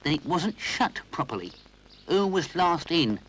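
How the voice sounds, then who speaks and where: A man's voice: on the high side, sing-song, measured pace, a bit bright, a little hiss or hum, voice pushed up, low-quality recording. A man voicing an officious, grumbling train guard who scolds the toys. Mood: scolding.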